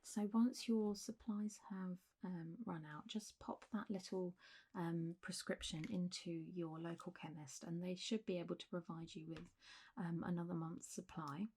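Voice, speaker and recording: A woman speaks very slowly in a mellow, relaxed voice that slips between soft speech and whisper. She plays a gentle, reassuring clinic doctor in an ASMR roleplay. The close recording carries a faint background hiss. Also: fairly low pitch. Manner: gentle, reassuring, unhurried doctor's bedside talk.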